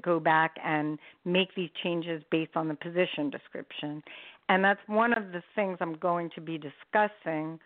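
A woman's voice: on the low side, no room sound. Scratchy-voiced woman on a phone line. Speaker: guest, IT recruiter and career coach. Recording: tinny phone-line audio.

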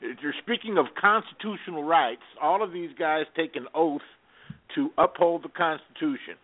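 A man's voice: on the high side, normal speed. Exasperated man on tinny talk radio. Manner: earnest, exasperated, rambling with fillers. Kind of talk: casual political talk radio chat. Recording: tinny phone-like radio audio.

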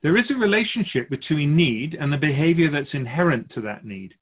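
An actor playing an otherworldly keeper of souls in a radio drama, patiently teaching humans in a measured, all-knowing way. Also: male voice, on the high side, expressive, breathy edge. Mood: patient, instructive. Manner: didactic, measured explaining, speaks of humans as outsider. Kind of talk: scripted radio drama dialogue. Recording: dull phone-like narrow sound.

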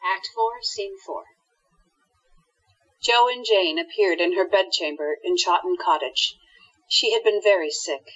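A woman's voice: medium pitch, measured pace, pauses often, fairly bright tone, a little hiss or hum. A woman narrating a scripted radio drama as storyteller, on dull lo-fi audio.

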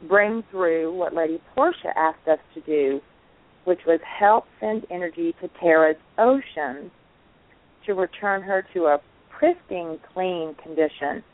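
Enthusiastic woman co-host, lowish voice, narrow phone-line sound. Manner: effusive, enthusiastic. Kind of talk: casual online talk show chat.

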